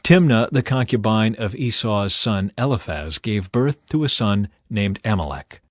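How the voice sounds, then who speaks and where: A man narrating scripture as an audiobook reader, in a measured, solemn way. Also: lowish voice, expressive, no echo, clean studio sound.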